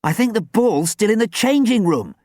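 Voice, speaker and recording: Man doing an earnest, high-voiced schoolboy. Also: sing-song, moderate pace, no echo, studio quality. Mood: panicked. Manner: tentative, earnest. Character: earnest, easily worried schoolboy in a storybook.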